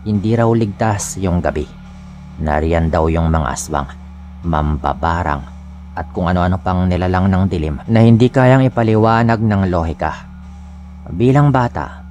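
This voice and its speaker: Male Filipino horror narrator, low voice, scripted first-person storytelling over a clear music bed. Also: a little mellow. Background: background music.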